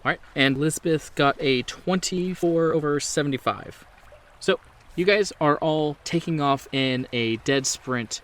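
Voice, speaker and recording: A man's voice: medium pitch, fairly bright tone. A man running a tabletop horror game as game master, narrating scenes off the cuff. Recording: clear boat engine ambience behind.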